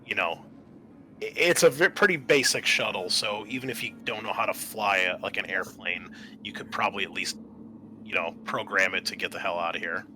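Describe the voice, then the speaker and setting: Guy running a tabletop rpg, lowish really sharp voice, stops and starts while explaining, bit of noise. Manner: halting, explanatory. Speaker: game master. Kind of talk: improvised narration of a tabletop game.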